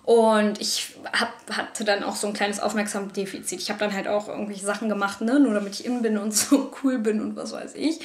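A young woman from Germany, telling personal stories to the camera from her sofa, candid and animated. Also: mid-range voice, brisk, few pauses, reverberant. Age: young adult. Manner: candid, animated, gestures a lot. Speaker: vlogger. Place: living room, on a sofa.

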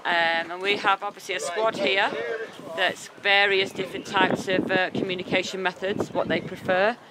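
A woman's voice: medium pitch, level tone, normal speed. Woman interpreter voicing players outdoors. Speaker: sign language interpreter voicing deaf players and coach. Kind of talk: first-person voice-over interpreting. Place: outdoors at a football pitch. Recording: loud outdoor noise.

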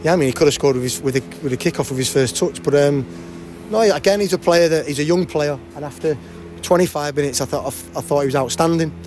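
A man in his forties, a football manager, giving a post-match interview at a stadium with a handheld mic. He talks fast and often restarts and repeats words. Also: on the high side. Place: outdoors by a cafe banner at a stadium.

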